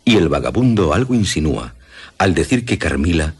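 A man with a Castilian Spanish accent narrating a scripted story for radio, measured and with dramatic pauses. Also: sits low, very animated, husky. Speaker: presenter.

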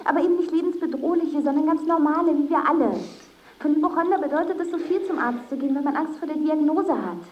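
A woman's voice: high voice, fast talker, dull audio, natural voice. An actress playing a worried, insistent woman in a radio drama, pleading and lecturing by turns. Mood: lecturing, exasperated. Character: worried, insistent woman coaxing a hypochondriac man.

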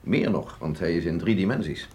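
An actor playing an earnest, learned chief medical officer in a radio drama, husky and didactic, speaking deliberately. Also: male voice, low voice, a little mellow, a little hiss or hum, natural voice. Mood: explanatory. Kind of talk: Dutch radio drama acting.

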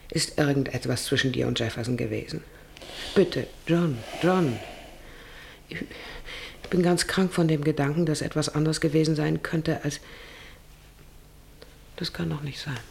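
A woman's voice: sits low, a little slow, creaky, pauses often, a little mellow, a little hiss or hum. An actress playing an anxious, careworn middle-aged farmer's wife and mother, speaking hesitantly and earnestly in a German radio play. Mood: pleading.

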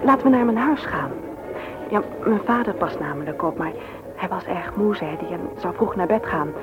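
A woman's voice: on the low side, expressive, moderate pace, over loud music, natural voice. An actress playing a candid, talkative widowed mother in a naturalistic radio play. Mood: lively, confiding. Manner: talkative, confiding, forthright. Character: candid, chatty widowed mother, frank about her feelings. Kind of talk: naturalistic radio drama acting. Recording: muffled, noisy old radio play recording.

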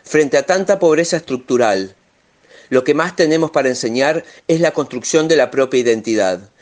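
A man's voice: medium pitch, lively intonation, moderate pace, a little mellow. A man reciting a political manifesto in a declamatory way.

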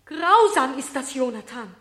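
A woman's voice: high voice, expressive, a little slow, a bit bright. A woman acting in a 1970s radio play as a horrified, reproachful mother pleading with her son. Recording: echoey room, music behind.

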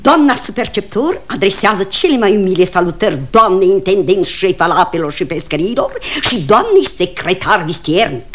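An actress playing a fussy, bossy, status-proud small-town matriarch with an exclamatory manner, in theatrical radio comedy style. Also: female voice, mid-range voice, very animated, few pauses, close to an everyday voice. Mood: commanding, ceremonious. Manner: fussy, exclamatory, self-important. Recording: old radio recording, faint noise, clicks.

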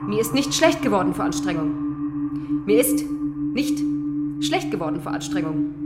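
A woman's voice: medium pitch, big pitch swings, a little slow. An actress playing a driven woman runner in an experimental radio play, repeating short phrases insistently and in fragments. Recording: roomy echo, loud music behind.

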